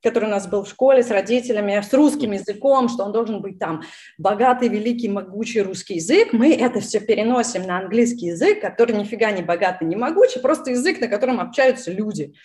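A woman's voice: mid-range voice, fast talker, a little mellow. A woman whose first language is Russian, chatting casually with many fillers and restarts. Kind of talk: casual conversation.

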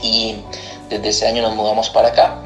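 A man's voice: fairly low pitch, completely flat delivery, fairly quick, a bit bright. A man in his thirties, raised in Costa Rica and born in Argentina, explaining his work as a pastor in an interview. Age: 30s. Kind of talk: recorded interview answer, explanatory. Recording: echoey room, music underneath.

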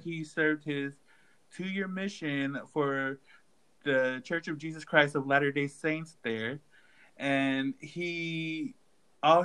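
A fairly high-voiced man talking fast and loose with friends, jokey and animated, with "like" dropping in everywhere. He bounces from point to point with a teasing grin in his voice. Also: clear, resonant tone. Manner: animated, joking, uses "like" often. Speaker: group chat member. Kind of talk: casual group chat.

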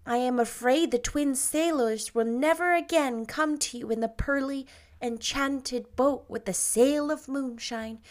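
A woman voicing a dreamy, gently wise young teacher who speaks in a wistful, playful way. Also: high voice, voice pushed up.

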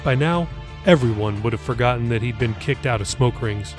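A man's voice: mid-range voice, a little fast, over music. A man reading his own novel as scripted audiobook narration for a podcast.

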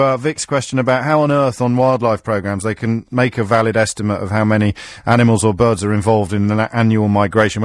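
A man's voice: medium pitch, no room sound. A middle-aged man hosting a radio phone-in, dry and teasing, with a self-mocking humour. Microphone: studio microphone.

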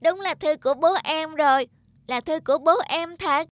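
A performer voicing a small, innocent, wistful child in an animated folk tale, polite and earnest in manner. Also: sits high, moderate pace, no room sound, studio quality. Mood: eager.

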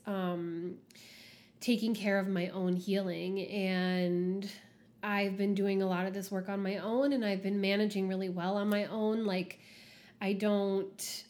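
A young woman talks casually on her solo podcast at a middle pitch, filling her sentences with "like" and small laughs. Her voice comes out stuffy and slightly husky, as she is under the weather. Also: a little mellow. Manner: chatty, frequent "like" fillers, laughs often. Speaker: podcast host.

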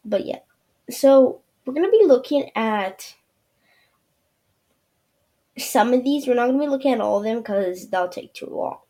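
A woman's voice: on the high side, animated, a little slow, long pauses, mellow. A woman hosting her own show, talking through a screen-share review in a loose, rambling way with lots of fillers. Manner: rambling, loose, many fillers and trailing "yeah". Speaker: podcast host.

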